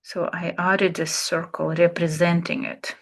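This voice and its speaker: A woman, an English speaker, teaching an art therapy class, speaking slowly and reflectively with fillers and pauses. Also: fairly low pitch, flat delivery. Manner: slow, searching, with fillers and pauses. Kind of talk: relaxed reflective class talk.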